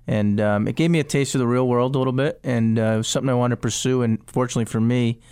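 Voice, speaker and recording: A man from New Hampshire speaks as a radio interview guest, easygoing and chatty. His voice is smooth and clear at a middle pitch. The studio sound is dry. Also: steady tone, fast talker, darkish tone, dry recording. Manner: easygoing, fillers like you know. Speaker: sports team executive guest.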